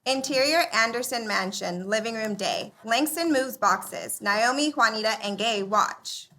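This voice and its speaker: A woman narrating stage directions at a live comedy read, in a brisk, declarative way. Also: a little high, pushed and bright.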